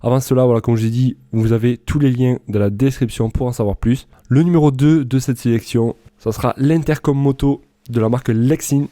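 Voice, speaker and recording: A man's voice: medium pitch, fairly even intonation, fairly quick, few pauses, dark tone, no echo, clean studio sound. A man presenting a product comparison in a casual, loosely improvised voice-over, explaining things informally.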